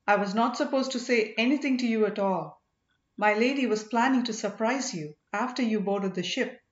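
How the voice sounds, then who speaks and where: A devoted, plain-spoken servant man from a storybook, played by a woman with a fairly high voice. He is respectful yet emphatic and reassuring. The recording is roomy and a touch dull. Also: normal speed, natural voice. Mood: confiding.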